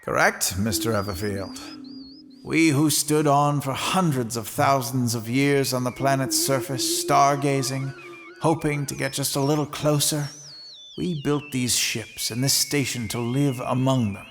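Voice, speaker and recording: A man playing a courteous, grave space station commander in an audio drama, speaking formally in measured speeches. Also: mid-range voice, fairly even intonation, lightly acted. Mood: wistful, grave. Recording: long reverb, music underneath.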